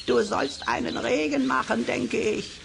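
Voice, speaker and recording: Creaky, croaking mocking raven. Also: medium pitch, wildly swooping pitch, very noisy, heavily acted. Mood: mocking, gleeful. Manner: mocking, nagging, drawn-out croaking exclamations. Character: talking male raven, wise, scolding, mocking old friend. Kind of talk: children's radio play acting.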